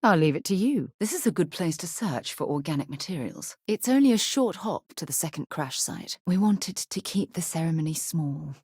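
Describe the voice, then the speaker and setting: An actress playing a composed, articulate British woman space explorer in a video game, earnest and caring, with a measured, proper way of talking. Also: low voice, expressive, a little mellow, breathy edge, no echo, studio quality, full character voice. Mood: tender, concerned. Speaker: video game companion character. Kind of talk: acted video game dialogue.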